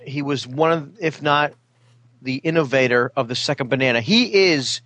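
A man in his thirties, a radio sidekick chatting casually in a halting, stammering way. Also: mid-range voice, a bit bright. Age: 30s. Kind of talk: casual comic chat.

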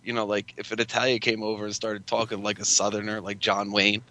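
A man's voice: lowish voice, completely flat delivery, fast, bright, forceful tone, no echo. A man with a New Jersey accent, telling stories and joking casually, with some swearing. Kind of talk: casual podcast chat.

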